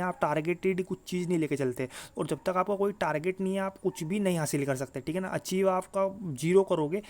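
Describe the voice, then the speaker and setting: A man giving informal, emphatic exam guidance to students, repeating his points, adding check-in tags and mixing in English words. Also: fairly high pitch. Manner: mixes English words into speech. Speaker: exam coaching YouTuber.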